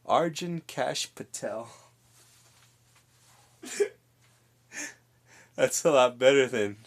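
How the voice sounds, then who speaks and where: Young male streamer, unhurried and giggly, husky voice with lots of lift. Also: mid-range voice, expressive. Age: 20s. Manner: giggly, reads chat messages aloud. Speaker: livestream host. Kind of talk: casual chat with chat while painting.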